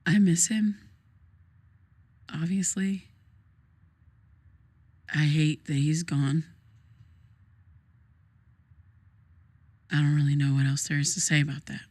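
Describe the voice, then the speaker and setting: An actress playing a troubled, grieving young woman in a naturalistic audio drama, speaking in a hesitant, halting, casual way. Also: deep voice, fairly even intonation, darkish tone. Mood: guarded, sad. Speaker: therapy client in audio drama.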